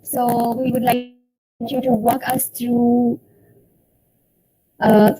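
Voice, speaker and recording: A young woman hosting an online student session, speaking slowly and formally as she welcomes guests. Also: a little high, little pitch movement, mellow, poor audio. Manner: slow, deliberate, formal phrasing. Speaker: event host for a student association.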